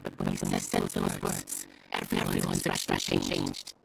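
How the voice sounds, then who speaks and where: A woman playing a frightened academic researcher in a video game cutscene, speaking in halting stammers. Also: a bass voice, monotone, creaky, very noisy, exaggerated voice. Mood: nervous, halting. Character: frightened academic researcher confessing under threat. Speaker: game character actor.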